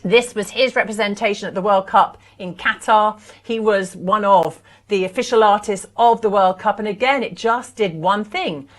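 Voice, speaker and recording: A woman's voice: mid-range voice, normal speed, hardly any pauses. A woman presenting artwork on a live auction stream, enthusiastic and persuasive.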